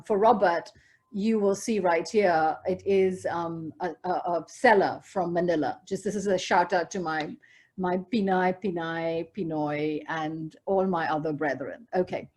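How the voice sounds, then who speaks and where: A woman with a Goan accent giving an academic keynote lecture over a video call, measured and scholarly, with asides to the audience. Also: on the low side, a little mellow. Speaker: keynote speaker. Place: video call from home or office. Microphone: computer or headset mic on a video call.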